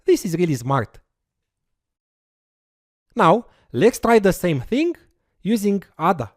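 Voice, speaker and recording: An instructor explains step by step, pitch rising and falling a lot. The voice is dry and close, with no room sound. Now and then there is a short "uh" while the instructor works through each step. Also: very animated, slow, dry recording. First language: Romanian. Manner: explanatory, walks through steps with occasional fillers. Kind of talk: recorded course lecture with screen demo.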